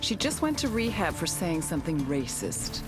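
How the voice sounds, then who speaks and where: An actress playing a tough, sardonic intelligence agent briefing operatives in a game, wry and punchy, with cynical bite. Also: female voice, lowish voice, big pitch swings, normal speed. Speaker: mission briefer. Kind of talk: scripted game cutscene narration. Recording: music bed under the voice.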